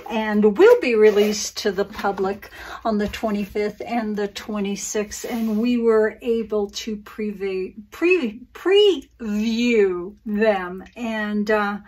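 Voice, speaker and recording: A woman's voice: medium pitch, recorded from a distance, a little hiss or hum, rough recording. A middle-aged woman hosting a casual acrylic pour painting video, chatting enthusiastically to viewers about her colors at her studio table. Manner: gushing and enthusiastic, calls viewers guys. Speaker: acrylic pour artist and channel host. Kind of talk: casual craft tutorial chat to viewers.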